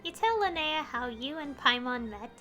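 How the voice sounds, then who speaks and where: A woman's voice: sits high, lively intonation, normal speed, bright, forceful tone. A woman streaming a video game, casually reading lines aloud and reacting over game music.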